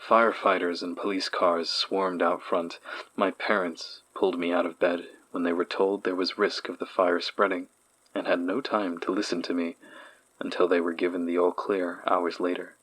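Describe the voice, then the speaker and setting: Male actor as haunted man, deep husky voice, horror podcast storytelling, troubled. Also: flat delivery, normal speed, fairly bright tone, poor audio. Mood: haunted, weary. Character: Nathan, haunted man recalling childhood horrors. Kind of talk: dramatic first-person horror narration.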